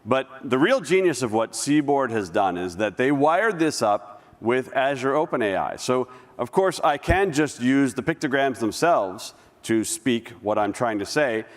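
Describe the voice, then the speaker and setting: Brief: male stage presenter, animated lively pitch, hall reverb, casual demo talk. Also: a little slow. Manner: conversational, with restarts while demoing. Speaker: conference presenter. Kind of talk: live tech demo presentation. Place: large conference hall stage. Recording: long reverb of a large hall.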